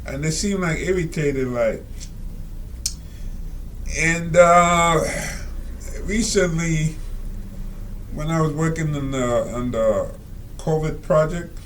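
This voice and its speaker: A man reading out and commenting on a reader's letter, talking slowly and rambling with many fillers and asides. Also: on the high side, fairly bright tone, a little hiss or hum, rough recording. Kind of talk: casual spoken commentary.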